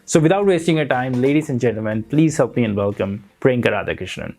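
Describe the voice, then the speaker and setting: A man's voice: mid-range voice, lively intonation, fast talker, vocal fry, a little mellow, slight background noise. Earnest male interview host. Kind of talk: interview show intro and questions.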